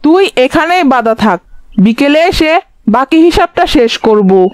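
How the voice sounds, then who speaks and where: Woman as a high-voiced stern village farmer. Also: sing-song, measured pace, no echo, clean studio sound. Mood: menacing. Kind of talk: children's cartoon character dialogue.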